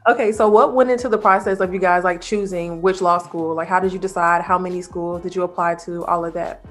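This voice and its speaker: A woman's voice: fairly low pitch, a little fast, faint music behind. Young woman hosting casual chat. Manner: relaxed questioner, many "like" fillers. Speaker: host, first-year law student.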